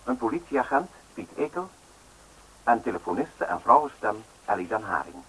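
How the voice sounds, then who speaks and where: A man's voice: medium pitch, very animated, normal speed, a bit bright, slight background noise, poor audio. A man working as a radio announcer, reading scripted credits in a formal, list-like delivery.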